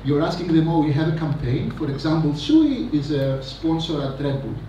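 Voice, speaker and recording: A man giving a rambling, energetic conference talk on stage, full of fillers and asides. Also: a little high, normal speed, dull audio. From Greece. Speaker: conference speaker. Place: large conference hall. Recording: echoey hall, mic from a distance, noisy.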